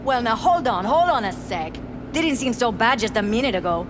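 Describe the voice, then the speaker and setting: A woman's voice: on the high side, lively intonation, moderate pace, noisy background, lightly acted. A woman playing an irritable, brassy clinic patient in a video game, snappish with anyone around her. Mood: irritated. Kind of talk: video game character acting.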